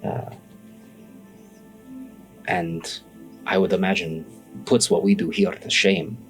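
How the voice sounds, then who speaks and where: Man playing low-voiced, hesitant scholar. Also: fairly even intonation, a bit bright, faint music behind, rough recording. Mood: confiding, careful. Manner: halting, hedging, folksy. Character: hesitant, secretive male scholar keeping family work.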